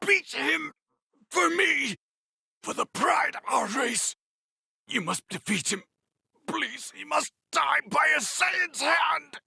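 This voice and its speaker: Anguished proud anime warrior, gravelly and cracking, piercing, strained pleading. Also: male voice, very high voice, lively intonation, takes it slowly, pauses often, bright, forceful tone, dry recording, clean studio sound, exaggerated voice. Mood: desperate pleading. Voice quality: harsh, raspy, strained. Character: proud male alien warrior prince, desperate and anguished.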